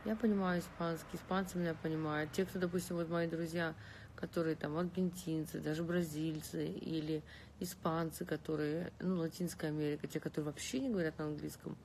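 Relaxed Russian woman chatting on a live stream. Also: sits low, brisk, slight background noise. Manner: relaxed, lying back, reading comments aloud and answering. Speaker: livestream host answering viewer comments. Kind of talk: casual live chat, switching between languages. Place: bedroom, lying against pillows indoors. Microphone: phone held close.

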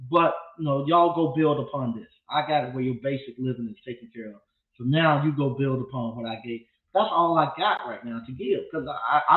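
Man, 50s, livestream host, rambling and blunt delivery, tinny phone-like sound with room echo. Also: a little high. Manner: loose, rambling and blunt, with repeated restarts. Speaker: appliance repair instructor and channel host. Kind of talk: casual livestream talk. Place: small home studio with green walls. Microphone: desk mic on a boom arm.

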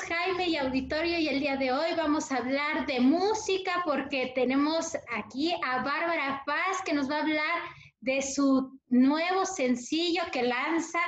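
A young woman journalist hosting an entertainment interview, friendly and asking questions with fillers over a video call. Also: sits high. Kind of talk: radio news interview. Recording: echoey, dull room with faint noise.

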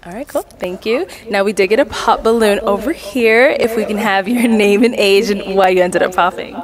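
A woman's voice: mid-range voice, moderate pace. American woman hosting, chatter behind her. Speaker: dating show host. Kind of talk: casual on-camera presenting.

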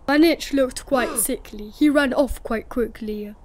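A woman narrating a rhyming picture book as an expressive, dramatic read-aloud. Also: high voice, unhurried, a little hiss or hum. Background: music.

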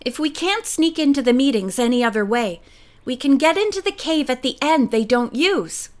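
Lady reading nancy, bold teen sleuth, decisive, kinda high. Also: expressive, normal speed. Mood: planning. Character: Nancy, bold young woman detective, eager.

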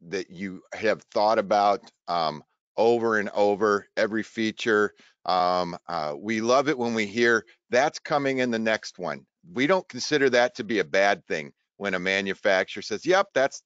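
A man's voice: lowish voice, a bit bright, clean tone, dry recording, dull audio. A man co-hosting a webinar in a casual way over a video call. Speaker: webinar co-host.

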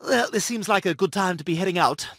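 An actor playing a breezy middle-aged buddy in an animated sitcom. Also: male voice, high-pitched, fast, no room sound, studio quality.

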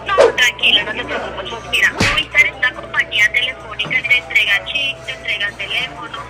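A woman's voice: a little high, a little fast. A polite, hesitant woman making a phone sales call, heard through a phone speaker. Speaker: telemarketer for a phone company. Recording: phone on speaker, loud music behind.

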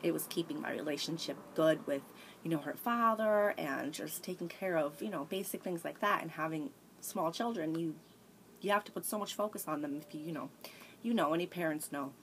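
Low-voiced woman in her thirties, rambling. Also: brisk, fairly bright tone, slight background noise, low-quality recording. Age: 30s. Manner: rambling, candid, frequent restarts and sighs. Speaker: vlogger. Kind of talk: casual confessional chat. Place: small room by a sunny window, indoors. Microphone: tablet camera at arm's length.

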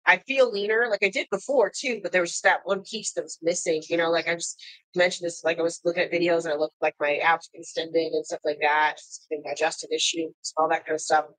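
A woman's voice: fairly low pitch, fairly even intonation, quick pace, a bit bright, dry recording, poor audio. A woman in her thirties co-hosting a casual podcast, nasal and congested from a cold, rambling with lots of fillers. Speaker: podcast co-host and bikini coach. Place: indoor living room. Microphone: tabletop mic.

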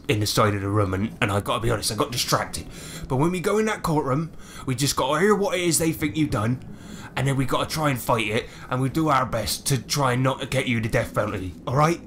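A man's voice: mid-range voice, a bit bright, a little hiss or hum, lightly acted. A man voicing a hapless, chatty public defender in a tabletop game, rambling bluntly in colloquial speech. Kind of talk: tabletop roleplay character voice.

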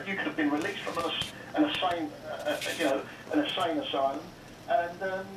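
A man with a slightly high voice makes an indignant, wordy complaint as a phone-in caller. The sound is distant and echoey, with clear noise in it. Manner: wordy, retells exchanges as reported dialogue. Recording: roomy radio playback, distant, noisy.

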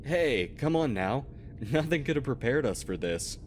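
Casting: actor as joking, reassuring spaceman for audio drama, average pitch, slight background ambience. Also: sing-song, moderate pace, lightly acted. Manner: jovial, soothing, casual. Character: upbeat, joking, reassuring male astronaut. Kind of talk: audio roleplay acting. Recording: faint noisy ambience.

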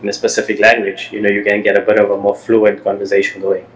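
A middle-aged man hosting an interview over a video call, asking halting questions with frequent restarts and supportive replies. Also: fairly low pitch, little pitch movement, rough recording. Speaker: podcast host. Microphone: over-ear headphones, video call.

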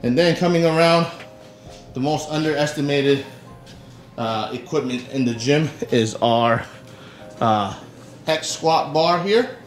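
A middle-aged man talks casually to a camera like a vlogger showing people around. His delivery is halting, feeling for the next word. The sound bounces off the hard walls of a garage, and music plays underneath. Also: fairly high pitch. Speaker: vlogger, channel host. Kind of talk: casual home tour vlog narration. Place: small garage home gym, indoors. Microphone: camera mic, handheld or a few feet away. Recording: echoey garage with music behind.

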